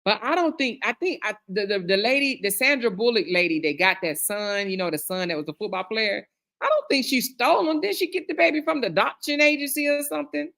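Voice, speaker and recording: A woman's voice: a little high, a little fast, gravelly. Chatty woman reading viewer comments. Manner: chatty, calls viewers girl, honey, child; reads comments aloud with lively reactions. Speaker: live stream host reading viewer comments. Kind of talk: casual chat.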